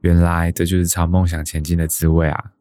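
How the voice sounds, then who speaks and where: Guy acting as a determined thirtysomething taiwanese-accented son, low voice, sincere, very dry recording. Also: little pitch movement, fairly quick, warm tone, dry recording, lightly acted. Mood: wistful, happy. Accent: Taiwanese Mandarin. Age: 30s. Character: earnest, determined thirty-two-year-old son chasing a dream. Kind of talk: radio drama monologue and dialogue.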